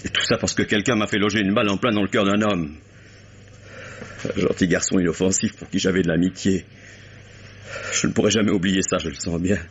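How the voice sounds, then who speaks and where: Male actor playing an agitated murder suspect; deep, husky, muffled old-radio sound. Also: steady tone, a little fast, long pauses, slight background noise, natural voice. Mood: anguished. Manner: agitated, bitter and sarcastic, breaks off mid-sentence. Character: anguished male stage actor accused of murder. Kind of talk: 1950s French radio drama acting. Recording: dull lo-fi old radio broadcast.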